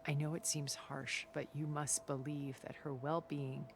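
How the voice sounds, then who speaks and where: An actress voicing a cultured, centuries-old woman recluse in a video game cutscene, speaking in a calm, deliberate and elegant way. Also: sits low, vocal fry, noisy background. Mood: measured. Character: cultured, ancient woman recluse, measured and regretful. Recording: game cutscene with music underneath.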